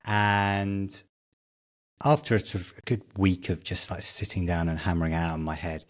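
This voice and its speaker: A middle-aged British man speaks as an interview guest. His voice is fairly low, and he talks in a casual, anecdotal way. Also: fairly even intonation, moderate pace. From Britain. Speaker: podcast guest, tech founder.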